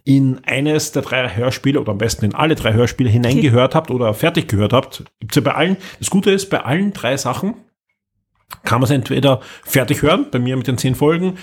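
A man's voice: mid-range voice, expressive, brisk, husky. An Austrian-accented man hosting a podcast, chatting casually and emphatically as he reviews, often repeating words. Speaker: podcast host.

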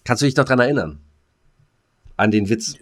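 A man's voice: medium pitch, lively intonation, moderate pace. A man hosting a podcast in casual comedic chat, rambling and joking and often repeating his own phrases. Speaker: podcast co-host.

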